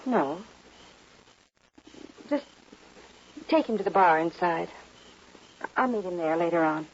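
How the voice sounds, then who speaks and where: A woman's voice: fairly low pitch, expressive, slow pace, a little hiss or hum. An actress playing a wounded but resolute young saloon woman in an old-time radio western. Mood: subdued, resolved. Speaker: radio drama actor. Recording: dull old radio recording.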